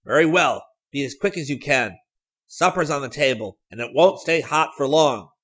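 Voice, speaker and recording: A man voicing a gruff, brisk uncle in a storybook, curt and commanding. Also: a little high, fairly bright tone, pitched above the performer's own voice, natural voice.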